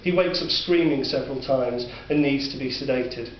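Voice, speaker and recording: A man with a Northern English accent narrating a dark story in a measured, sombre way. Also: mid-range voice. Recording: distant, roomy, dull recording with faint hiss.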